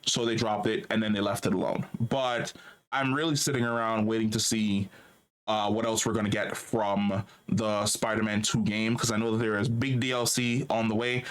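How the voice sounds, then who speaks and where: A man's voice: fairly low pitch, level tone, normal speed, clean tone. A man hosting a gaming news show, talking loosely and chattily, swearing freely and repeating words while he gathers his thoughts. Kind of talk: casual gaming news commentary.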